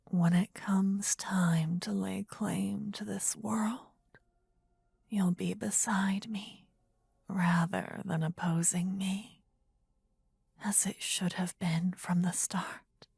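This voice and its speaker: A woman voicing a possessive, adoring demon queen in a breathy, husky whisper for a fantasy ASMR roleplay, intimate and soothing with formal phrasing, close to the microphone. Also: medium pitch, flat delivery, slow, a little mellow, no echo, heavily acted. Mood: possessive, hungry. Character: possessive, adoring demon queen, tender yet menacing female lover. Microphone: close ASMR microphone, dry.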